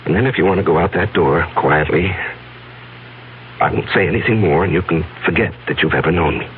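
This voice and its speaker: Male, very low, halting and earnest: actor as tormented man on the run, vintage radio drama on a narrow band. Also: a little hiss or hum, close to an everyday voice. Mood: anguished, earnest. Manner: halting, earnest, heavy pauses. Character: anguished fugitive man confessing a hidden past to his fiancée. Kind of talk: 1970s radio drama acting.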